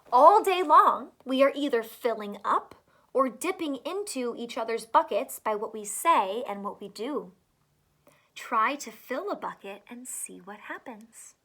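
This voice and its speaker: A woman's voice: on the high side, a bit bright. A woman teacher reading a picture book aloud to children, adding encouraging asides and questions.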